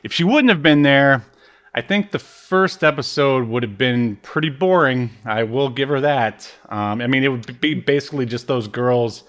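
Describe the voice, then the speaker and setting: A man's voice: mid-range voice, normal speed, fairly bright tone. A man co-hosting a podcast in casual comedic chat, jokey and given to acting out quotes. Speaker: podcast co-host. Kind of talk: casual comedic chat about a reality TV show.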